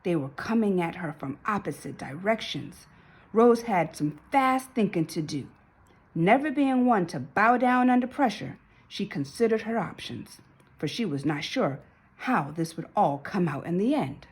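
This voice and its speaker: Female narrator for kids' picture book: highly animated pitch, medium register, a touch of rasp, emphatic and dramatic. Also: measured pace. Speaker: storybook narrator. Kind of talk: expressive children's read-aloud.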